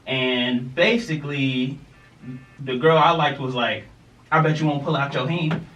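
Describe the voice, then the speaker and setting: A young man co-hosting a podcast, telling a childhood story in an animated, slangy, laughing way. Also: medium pitch, a little slow, lo-fi sound, soft background music. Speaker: podcast co-host. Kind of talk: casual storytelling. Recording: roomy, distant mic with faint noise.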